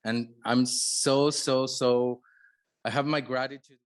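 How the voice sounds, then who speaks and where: Slow, halting man speaking from an audience. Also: medium pitch, steady tone, clean tone. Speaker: guest from the audience.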